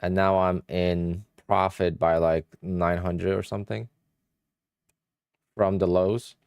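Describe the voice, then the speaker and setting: Man, Polish-influenced accent, young, somewhat deep voice, offhand livestream chatter, dry close mic. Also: little pitch movement, slow, creaky, a little mellow, clean tone, no echo. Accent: Polish-influenced English. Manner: offhand, thinking aloud, answers chat questions. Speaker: day trader streaming live. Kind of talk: casual livestream chat while trading.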